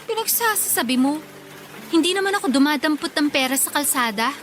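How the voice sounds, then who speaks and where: High-voiced, long-suffering young Filipina daughter. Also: female voice, animated, brisk, fairly bright tone, slight background noise. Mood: exasperated. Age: young adult. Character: dutiful, exasperated young working daughter and sister. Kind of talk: Tagalog radio drama acting.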